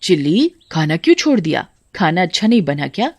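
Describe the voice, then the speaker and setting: A woman's voice: fairly low pitch, very animated, fairly quick, slightly rough, heavily acted. A woman voicing a scolding, no-nonsense village mother in a cartoon story, nagging and exasperated. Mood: questioning.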